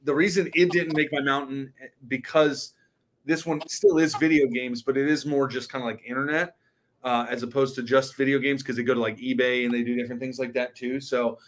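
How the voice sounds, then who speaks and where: A man's voice: mid-range voice, steady tone, a little fast, a little hiss or hum. Male co-host, mid-range voice, informal conversational delivery. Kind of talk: casual chat.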